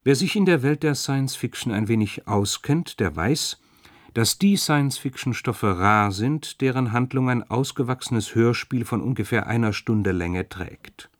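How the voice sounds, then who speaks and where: A man's voice: medium pitch, measured pace, dry recording, clean studio sound. A man giving a wry, rhetorical scripted introduction in 1970s radio style. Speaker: radio presenter.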